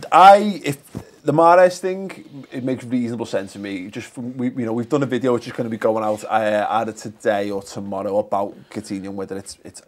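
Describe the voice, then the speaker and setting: A man's voice: on the low side, a little mellow. A man hosting a casual football chat, bantering, laughing and swearing with his panel. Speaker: host.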